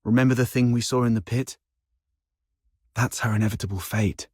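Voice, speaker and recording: A worldly, streetwise schemer from a video game , acted by a man, talking in a wry, conversational way. The voice is mid-pitched and very dry, with no room around it. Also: fairly even intonation, normal speed, a little mellow, husky, dry recording, lightly acted. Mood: musing, serious. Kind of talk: video game cutscene voice acting.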